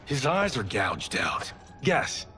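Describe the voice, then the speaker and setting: Brash film star, lively pitch, medium voice, sarcastic, casual, game drama, music behind. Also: male voice, slow pace. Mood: sardonic. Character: brash, wisecracking action movie actor. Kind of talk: dramatic video game acting. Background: dramatic score.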